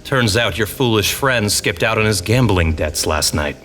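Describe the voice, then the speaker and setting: A smug bandit boss, bright and cutting, with lively swings of pitch. He is theatrically polite and full of menace, in a fantasy audio drama with faint music. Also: moderate pace. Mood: gloating. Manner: mocking, theatrically courteous, chuckling.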